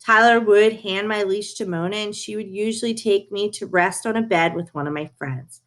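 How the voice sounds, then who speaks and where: A woman's voice: mid-range voice, moderate pace, a bit bright. A woman reading a children's story aloud, narrating it in the first person as a dog. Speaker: reader of a children's book.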